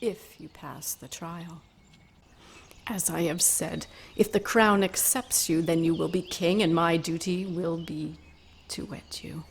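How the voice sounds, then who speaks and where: A woman's voice: on the low side, fairly even intonation, slow, vocal fry, a little hiss or hum. An actress playing a proud, defiant young noblewoman in a radio drama, speaking formally with bitter scorn. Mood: cool, restrained.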